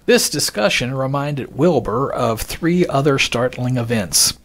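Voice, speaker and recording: A man giving scripted, expository narration, reading out quoted passages in a measured manner as a podcast narrator. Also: mid-range voice, expressive, fairly bright tone.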